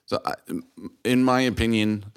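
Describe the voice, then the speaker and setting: A man's voice: mid-range voice, fairly even intonation, normal speed, smooth. A man hosting a casual interview, offering analytical comparisons in a slightly hesitant way. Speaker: interview host. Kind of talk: casual chat.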